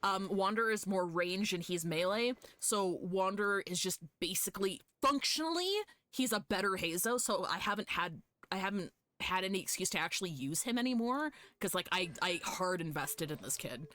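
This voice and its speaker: A woman's voice: medium pitch, expressive, normal speed, fairly bright tone, dry recording. Chatty, foul-mouthed woman streaming a game. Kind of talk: casual chat with viewers while gaming. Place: live stream with game music behind.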